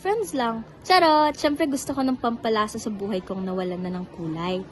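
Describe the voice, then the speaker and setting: A woman's voice: fairly high pitch, normal speed, natural voice. A young woman playing a playful eighteen-year-old girl chatting online, teasing but jaded about men. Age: young adult.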